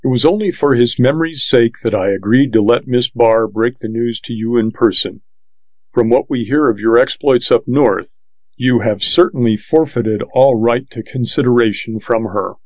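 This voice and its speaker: A man voicing a stiff, pompous rich gentleman who speaks formally and lectures with scorn. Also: medium pitch, normal speed, no room sound, close to an everyday voice. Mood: disapproving. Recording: dull, lo-fi dry audiobook recording.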